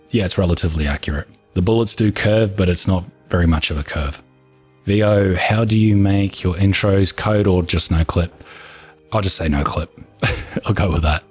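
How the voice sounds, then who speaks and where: A man talks in a calm, soothing, unhurried way, answering viewer questions. His voice sits very low and sounds husky, a little hoarse from long talking. Soft music plays faintly underneath. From New Zealand. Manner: relaxed, unhurried, matter-of-fact. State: hoarse from talking for over an hour. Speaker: gaming video creator answering viewer questions. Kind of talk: casual Q&A chat.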